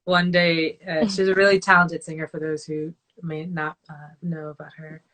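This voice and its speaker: A woman hosting a podcast interview over a video call, talking in an effusive, heartfelt way and often trailing off softly. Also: deep voice, flat delivery, normal speed. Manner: warm, effusive, trailing into softer asides. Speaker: podcast host. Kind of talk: casual interview.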